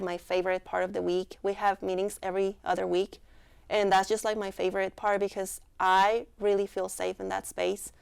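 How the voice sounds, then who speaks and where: Young woman with a Mexican accent, gravelly. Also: mid-range voice. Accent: Mexican Spanish. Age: young adult. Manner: earnest, heartfelt, many "like" fillers. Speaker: student club member, interviewee. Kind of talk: casual interview answers.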